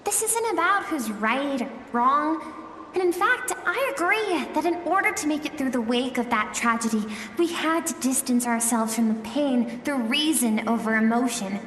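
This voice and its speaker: A woman voicing an earnest, gentle young girl in a video game, speaking slowly and tenderly. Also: high-pitched, lively intonation, a little hiss or hum. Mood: compassionate, sad. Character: earnest, gentle young girl, a warrior's lost childhood self. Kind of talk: scripted video game voice acting. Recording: echoey hall-like reverb.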